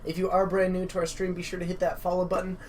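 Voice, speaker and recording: Guy casting a card game stream from a game shop, kinda high voice, chatty, faint hum behind. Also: completely flat delivery, fast, darkish tone. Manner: chatty, welcoming, analytical. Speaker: stream commentator. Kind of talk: live game commentary. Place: game store.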